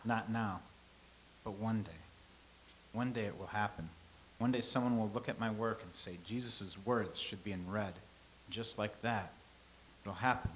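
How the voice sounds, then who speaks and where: Man, actor doing a lecturing calm scribe, lowish voice, staged reading. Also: a little hiss or hum, close to an everyday voice, poor audio. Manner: measured, sage, lecturing. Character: calm, philosophical old-time male scribe.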